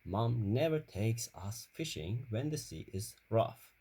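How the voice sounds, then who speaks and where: A man reading a children's story aloud in careful, deliberate short phrases. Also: lowish voice, lively intonation, dark tone, breathy edge.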